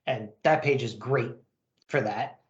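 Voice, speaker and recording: A man's voice: medium pitch, takes it slowly, highs cut off. A man hosting a podcast, giving a wry, sarcastic and emphatic review. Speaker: podcast host. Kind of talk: wry comic book review. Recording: roomy, echoey sound.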